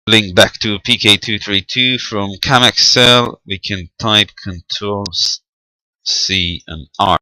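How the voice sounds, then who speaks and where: A man's voice: on the low side, bright, forceful tone, no echo, rough recording. A man giving an unscripted step-by-step technical demonstration, hesitant and thinking aloud as he goes. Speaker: amateur radio tutorial presenter.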